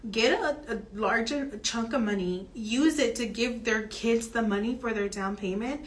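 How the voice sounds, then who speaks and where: A woman's voice: on the high side, moderate pace. Woman mortgage broker explaining steadily. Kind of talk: explanatory chat about home financing.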